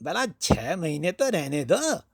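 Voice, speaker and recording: A man's voice: fairly high pitch, big pitch swings, slow, vocal fry, dry recording, studio quality, full character voice. A man voicing an old father-in-law in a storybook, coaxing and protesting. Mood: pleading. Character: pleading old father-in-law, reluctant to part with daughter.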